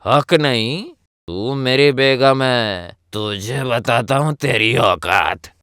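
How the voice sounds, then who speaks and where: A man playing a bullying, scornful, drunken village husband in an animated story. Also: mid-range voice, slow pace, smooth, dry recording, clean studio sound. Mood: scornful, menacing.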